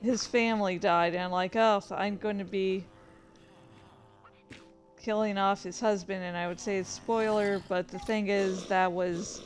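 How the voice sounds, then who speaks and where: A woman's voice: mid-range voice, steady tone, unhurried, faint music behind. A woman chatting casually and hesitantly, thinking aloud as she gives meandering commentary during a game. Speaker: fan fiction author and streamer. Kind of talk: casual rambling commentary while playing a game.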